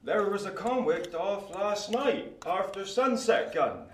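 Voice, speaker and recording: An actor playing a kindly, simple village blacksmith in a radio play, gentle and rustic. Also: male voice, high voice, expressive, slow, distant mic, a little hiss or hum. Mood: matter-of-fact. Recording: echoey room, off the mic.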